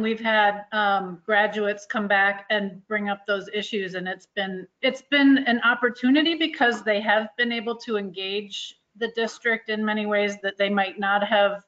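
A woman's voice: medium pitch, a bit bright. A woman speaking informally as a school board member in an online meeting. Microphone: video call. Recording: dull lo-fi video-call audio.

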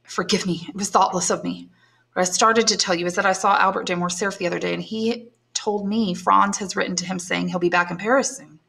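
A woman's voice: mid-range voice, fairly even intonation, a little fast, gravelly, fairly bright tone. A woman reading aloud and voicing a devoted young man, earnest and reassuring, close to her own voice. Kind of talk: audiobook character voice.

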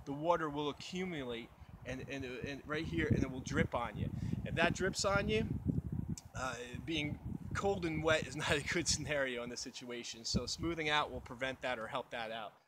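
A man's voice: on the high side, pushed and bright, a little hiss or hum. A young man explaining outdoor survival in a casual, rambling way with many fillers, recorded outdoors in snowy woods on a camera mic a few meters away. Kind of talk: unscripted how-to explanation. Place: outdoors in snowy woods beside a snow cave.

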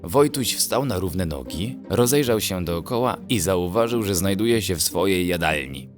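A man narrating a children's bedtime story in an expressive storytelling manner. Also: sits low, moderate pace, over music.

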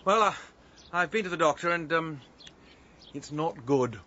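A man's voice: a little high, lively intonation, a little slow, sharp tone, muffled recording, exaggerated voice. An actor playing a flustered, polite middle-aged English man in a radio drama, hesitant and proper. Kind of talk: BBC radio drama acting.

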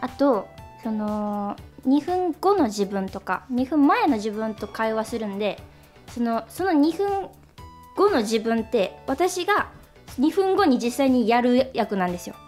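A woman's voice: a little high, big pitch swings, a little slow, a little mellow. A woman radio host chatting casually, telling behind-the-scenes stories. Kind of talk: casual chat, recounting film shoot stories. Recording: music playing behind the talk. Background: background music.

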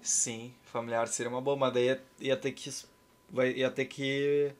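A man's voice: mid-range voice, little pitch movement, a little slow, a little hiss or hum. A man in his thirties with a Brazilian accent, chatting casually and ironically as he hosts and commentates a game stream. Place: indoor room at home.

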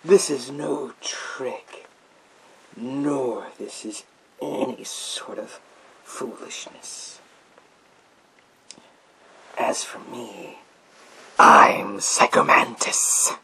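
A man voicing a menacing psychic villain in a gas mask, in a theatrical, drawn-out ASMR roleplay whisper with a creaky edge. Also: medium pitch, sharp tone, a little hiss or hum, full character voice, rough recording. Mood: eerie, menacing. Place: small indoor room with bookshelves.

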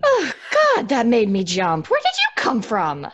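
An actress playing a sardonic, impatient young twin sister in an audio drama, with a dry, sarcastic manner. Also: female voice, on the high side, wildly swooping pitch, unhurried, fairly bright tone, dry recording, highs cut off, full character voice. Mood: uneasy.

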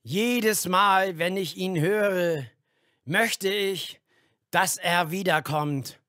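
A man's voice: high voice, drawn-out words, sharp tone, dry recording, studio quality, heavily acted. An actor playing a grandiose, megalomaniac leader declaiming his new world order in a radio play. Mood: fervent.

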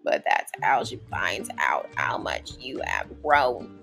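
A woman voicing a glum, anxious but kindly male storybook fish in a gruff, breathy voice. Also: a bass voice, very animated, takes it slowly, fairly bright tone, soft background music, pitched below the performer's own voice, full character voice. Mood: reassuring. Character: glum, anxious male fish who reassures his friends.

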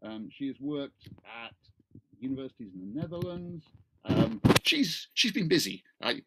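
A man's voice: medium pitch, fairly bright tone. Middle-aged man chairing, wry. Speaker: event chair, law professor. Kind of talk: informal spoken introduction to an online talk. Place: small book-lined room at home, indoors. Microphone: video call, computer microphone.

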